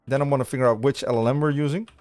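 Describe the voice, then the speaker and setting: A Dutch man, speaking English as a second language, talks loosely to his stream viewers at an ordinary middle pitch, stumbling over restarts and repeating words as he thinks aloud. Faint music runs underneath his close, clean podcast-mic sound. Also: steady tone, rapid-fire, a little mellow. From Netherlands. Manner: rambling, many restarts, chatting with viewers. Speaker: livestream coding host. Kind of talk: casual live-coding stream chat. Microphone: studio podcast mic.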